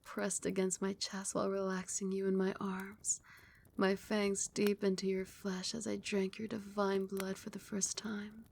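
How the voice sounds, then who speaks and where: A woman voicing a doting, possessive vampire queen in an ASMR roleplay, speaking softly and breathily, often dropping into a whisper, with tender, regal endearments. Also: mid-range voice, monotone, measured pace, vocal fry, a little hiss or hum. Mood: intimate, praising. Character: doting, possessive vampire queen soothing her beloved pet.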